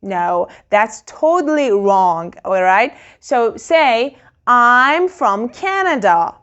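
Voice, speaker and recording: A woman leads a beginner English lesson, modelling each phrase very slowly for learners to repeat. Her voice is slightly high and lively. The sound is a little muffled. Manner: very slow, clearly modelled phrases for repetition. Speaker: English teacher.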